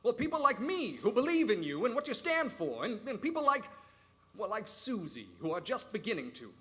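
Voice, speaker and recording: An actor playing an earnest, loyal, worried lawyer who reasons urgently in a stage radio play. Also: male voice, high voice, very animated, brisk, a bit bright. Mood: concerned.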